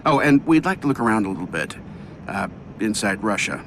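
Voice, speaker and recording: An actor playing a cocky, wisecracking armored superhero in a video game, glib, sardonic and self-assured. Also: male voice, fairly low pitch, very animated, brisk, fairly bright tone. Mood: glib, confident. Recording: game music and engine noise behind.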